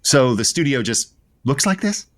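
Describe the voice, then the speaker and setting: An actor playing an awkward radio network boss in an audio comedy, polite in a corporate way. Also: male voice, mid-range voice, sing-song, normal speed, lightly acted. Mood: awkward, managerial.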